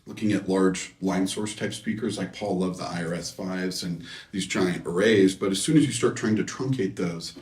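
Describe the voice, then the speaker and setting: A man being interviewed as a designer, explaining technical matters casually and haltingly, often correcting himself. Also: low-pitched, a little mellow. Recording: echoey room, distant microphone.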